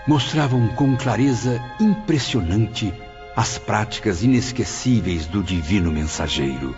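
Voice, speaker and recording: An actor with a Brazilian accent playing a solemn, emotive spirit of a writer recalling memories in an audio drama. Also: male voice, low-pitched. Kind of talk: dramatized audiobook, first-person recollection. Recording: muffled audio under prominent music.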